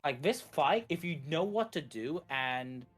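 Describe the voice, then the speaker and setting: A man's voice: a little high, expressive, measured pace, fairly bright tone. A man giving live, explanatory gameplay commentary on a speedrun, often repeating and rephrasing as he goes. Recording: music behind the voice. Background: game music.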